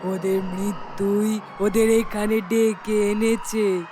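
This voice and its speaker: A woman acts out a vengeful female ghost from a horror cartoon. She speaks very slowly and with gloating menace, threatening and cackling over a music bed. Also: medium pitch, flat delivery, lightly acted.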